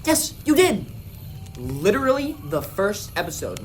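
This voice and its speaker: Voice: mid-range voice, huge pitch swings, a little slow, soft background music. A performer playing a blunt, exasperated young man in an amateur radio play. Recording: clearly noisy home recording.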